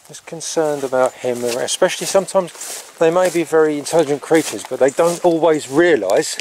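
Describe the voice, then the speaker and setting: A middle-aged man in a casual, rambling vlog, talking haltingly outdoors on a farm into a handheld camera. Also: a little high, a little mellow, slight background noise, poor audio. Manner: halting, breaking off to talk to animals. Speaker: farm vlogger. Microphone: handheld camera at arm's length.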